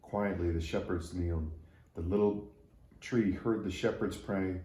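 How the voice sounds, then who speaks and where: Male children's story reader. Low, dark, relaxed tone, echoey room. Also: unhurried. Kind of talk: read-aloud storytelling for children. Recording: roomy echo.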